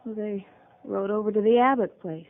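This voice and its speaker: A woman's voice: medium pitch, normal speed, a little hiss or hum, lightly acted. An actress playing a proud, direct young ranch woman in a 1950s radio western. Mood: surprised, curious. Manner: pert, direct questioning. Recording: muffled narrowband 1950s radio recording.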